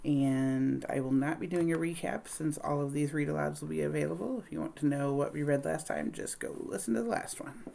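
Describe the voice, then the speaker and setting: Female story reader, low pitch, children's book narration. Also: a little fast, vocal fry, few pauses, mellow, slight background noise. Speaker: read-aloud reader.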